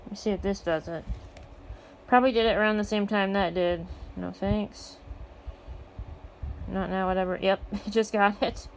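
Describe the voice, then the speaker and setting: A woman's voice: mid-range voice, fairly even intonation, moderate pace, creaky, long pauses, a little hiss or hum, low-quality recording. An American woman in casual vlog chat, talking in an offhand way with muttered asides. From United States.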